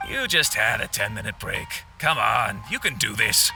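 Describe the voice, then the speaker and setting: An actor playing a strict, pushy editor driving a pupil on in a video game. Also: male voice, fairly low pitch, sing-song, moderate pace, vocal fry, music in the background. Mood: pressing. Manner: exacting, pushy.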